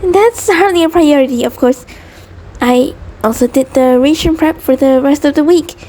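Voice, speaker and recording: A woman's voice: high voice, moderate pace, dark tone, close to an everyday voice. A woman voicing an anxious, devoted centipede creature partner in an intimate audio roleplay, nervous and hesitant, stammering and rambling. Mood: shy, affectionate, nervous. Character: anxious, devoted female mutant centipede partner, sweet and neurotic.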